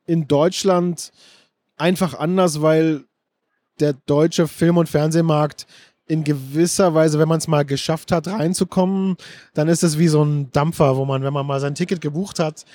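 A middle-aged man answers interview questions at a book fair, searching for words and restarting sentences. His voice is mellow and fairly high for a man. The sound is close and dry. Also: dry recording. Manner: thoughtful, restarts sentences often, many fillers. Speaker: interview guest, screenwriter and director. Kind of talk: casual interview talk.

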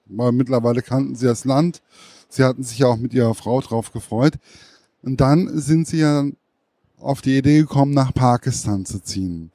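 A man interviewing a guest, phrasing his questions slowly and hesitantly, searching for words. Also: mid-range voice, mellow, no echo. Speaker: interviewer, host.

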